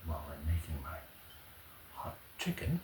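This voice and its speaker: A man from Canada hosting a casual ASMR cooking video at home, chatting in an unhurried way with little murmured asides and hums. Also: a bass voice, wildly swooping pitch. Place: indoors at home kitchen. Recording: roomy echo, clear background noise.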